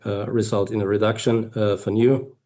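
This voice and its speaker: A man speaking as a finance executive on an earnings call, explaining figures in a measured, halting way. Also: lowish voice, little pitch movement, mellow. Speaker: finance executive presenting company results. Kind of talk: earnings call presentation and answers.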